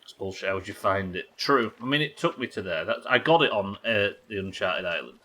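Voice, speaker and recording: A man's voice: fairly low pitch, lively intonation, brisk, pushed and bright. A man doing casual livestream game commentary, thinking aloud, questioning himself and swearing now and then. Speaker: live game streamer.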